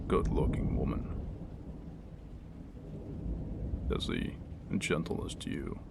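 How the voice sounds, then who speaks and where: An extremely low, crackling-voiced wounded mercenary played by a man, murmuring intimately as in ASMR roleplay. He is guarded, brooding and wry with a tender streak, speaking in halting, old-world phrases while rain and thunder sound behind him. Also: heavily acted. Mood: softly admiring. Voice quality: deep, gravelly, breathy murmur. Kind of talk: ASMR roleplay acting, intimate second-person monologue. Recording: rain and thunder ambience noise.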